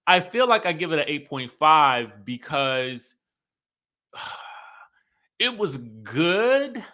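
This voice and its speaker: A man's voice: on the high side, extremely slow. A man hosting an online review show, chatting casually and emphatically to his viewers and often checking in with them. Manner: animated, conversational, emphatic, often checking in with listeners. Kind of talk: casual livestream commentary to viewers.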